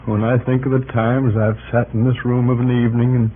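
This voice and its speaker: An actor playing a homely, respectful small-town neighbour with a folksy, hesitant, rambling manner in an old radio drama. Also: male voice, medium pitch, level tone, brisk, a little hiss or hum, close to an everyday voice. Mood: marvelling. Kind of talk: 1940s radio acting. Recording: muffled, band-limited old radio recording.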